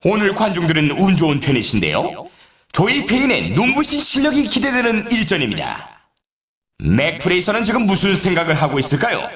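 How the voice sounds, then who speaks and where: A man's voice: sits high, huge pitch swings, fairly quick, a bit bright, full character voice. A man playing an upbeat, showy sports announcer in a video game, with polished, scripted commentary delivery. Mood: excited. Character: male snowboard race announcer, upbeat and showy. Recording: echoey, distant-sounding game audio.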